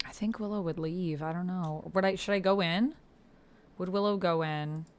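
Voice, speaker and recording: Woman streamer, kinda low voice, casual slangy chat, thinks out loud, expressive. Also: normal speed, a little hiss or hum. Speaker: YouTuber livestreaming a text roleplay game. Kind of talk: casual livestream chat, reading and reacting. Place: indoors at a computer.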